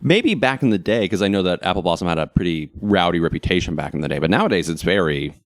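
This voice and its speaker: An American man co-hosting a podcast, chatting casually with wry, animated humor. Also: sits low, fast, no echo, clean studio sound. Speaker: podcast co-host.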